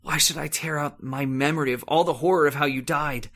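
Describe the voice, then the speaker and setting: An actor playing a terrified, guilt-ridden man losing his sanity in an old-time radio horror monologue, halting and repetitive in melodramatic delivery. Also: fairly high pitch, fairly even intonation, a bit bright. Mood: grim despair.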